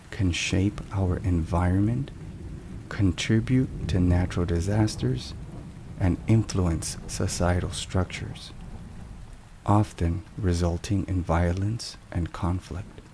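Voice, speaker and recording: Slow, low-voiced man in hushed ASMR narration. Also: creaky. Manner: measured, deliberate, hushed. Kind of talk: whispered ASMR, scripted devotional narration. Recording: steady rain and thunder noise. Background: rain and thunderstorm sounds.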